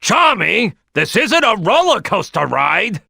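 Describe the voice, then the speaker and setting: An actor playing a big, brash crocodile detective in a video game, a gruff, gravelly team leader who is loud and bossy. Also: male voice, high voice, big pitch swings, slow, no echo, studio quality, heavily acted. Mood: bossy, assured. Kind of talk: video game character acting.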